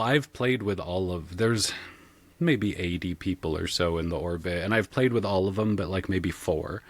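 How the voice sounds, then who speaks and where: Low-voiced man in casual interview. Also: dry recording. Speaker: podcast guest, game master and sound designer.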